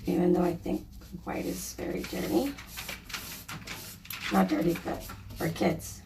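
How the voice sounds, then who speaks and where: A woman with a slightly hoarse, raspy voice, casually narrating a painting project in a chatty, apologetic way with lots of fillers. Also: lowish voice, a little slow, recorded from a distance, background noise. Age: adult, a mother. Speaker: DIY vlogger.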